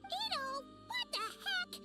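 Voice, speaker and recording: A woman voicing a tiny, excitable childlike fairy companion in a video game, with a squeaky, exclaiming delivery. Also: takes it slowly, exaggerated voice. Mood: surprised. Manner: speaks of herself in third person, exclaiming. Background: music.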